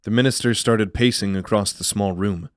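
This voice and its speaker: Male narrator for fantasy audio drama: lowish voice, measured storytelling, close dry sound. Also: steady tone, no echo.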